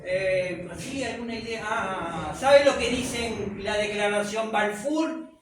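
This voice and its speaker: A man with a high voice speaks slowly through an echoey room, heard from some distance off with a little faint noise behind him. His first language is French. He lectures an audience in a conversational way, full of rhetorical questions. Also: crowd noise. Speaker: speaker at a public talk.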